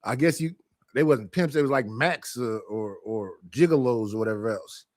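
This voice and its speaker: A man's voice: medium pitch, moderate pace, darkish tone, no room sound, clean studio sound. A man hosting a livestream, talking loosely and bluntly in a casual, conversational way. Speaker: livestream host.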